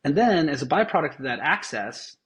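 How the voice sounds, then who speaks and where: A man's voice: on the high side, big pitch swings, a little fast, a bit bright. A man speaking as an interview guest, talking casually and energetically, swearing now and then and restarting his sentences. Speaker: guest, festival founder.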